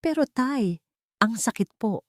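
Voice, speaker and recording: A woman's voice: a little high, animated, measured pace, no echo, studio quality, voice pushed up. Woman voicing a hurt, bewildered young daughter.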